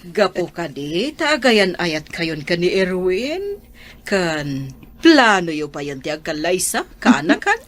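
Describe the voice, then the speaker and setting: A woman playing a caring older aunt who gently advises her niece in a radio drama. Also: lowish voice, big pitch swings, slow pace. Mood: fond. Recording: soft music underneath.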